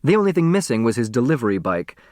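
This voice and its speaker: A man's voice: mid-range voice, sing-song, fast, darkish tone, no echo. Man reading a storybook, dry close sound. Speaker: audiobook narrator. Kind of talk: scripted storybook narration, expressive reading.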